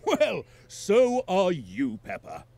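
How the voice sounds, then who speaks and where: An actor playing a jolly, bumbling daddy pig in a children's cartoon game, hearty and jovial. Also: male voice, high-pitched, wildly swooping pitch, slow pace, background noise, heavily acted. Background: music.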